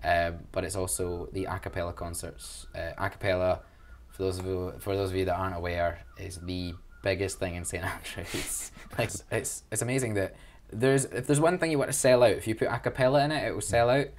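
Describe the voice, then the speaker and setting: Young Glaswegian man, low-pitched, enthusiastic and chatty, joking at his own expense. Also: steady tone, fairly quick, few pauses, slight background noise. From Glasgow, Scotland. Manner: enthusiastic, chatty, self-deprecating. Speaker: student union events officer. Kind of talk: casual interview answers.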